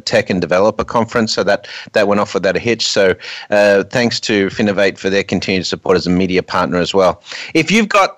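A man's voice: mid-range voice, fairly bright tone, no echo. A man hosting an unscripted radio show from a studio, often restarting and repeating words as he goes.

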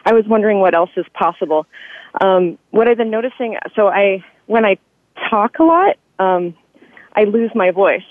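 A woman's voice: mid-range voice, dry recording. A woman calling in to a talk show, eager and bubbly, with many ums as she asks her question. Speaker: caller. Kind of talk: phone-in question. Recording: tinny phone line.

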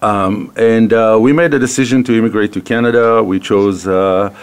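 A man's voice: on the low side, steady tone, moderate pace, clean tone. A man in his fifties from Israel with an Israeli accent, hosting a business interview from a radio studio and talking hesitantly with many fillers and restarts. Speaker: radio show host.

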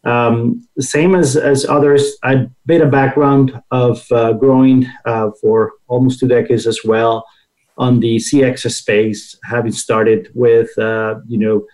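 A man in his forties from Costa Rica, a consulting manager, speaking as a podcast guest in a halting way, with many fillers and repeated words. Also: mid-range voice, little pitch movement, darkish tone, rough recording. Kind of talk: unscripted guest remarks.

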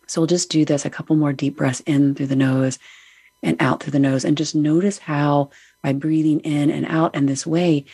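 Middle-aged female host, deep breathy voice, slow soothing meditation guide, dry close sound. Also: dry recording. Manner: soft, soothing, unhurried guidance. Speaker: podcast host.

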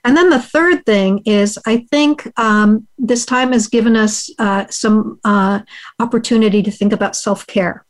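Earnest woman guest on a video call. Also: fairly high pitch. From United States. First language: Hungarian. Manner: earnest, measured, uses frequent fillers. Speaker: podcast guest, investor and author. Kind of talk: reflective interview answers.

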